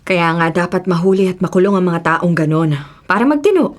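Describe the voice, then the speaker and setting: Fast-talking, earnest young policewoman played by an actress. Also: on the low side, lively intonation, lightly acted. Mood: earnest, concerned. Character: earnest, principled young policewoman, devoted adopted daughter. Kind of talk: radio drama acting in Tagalog.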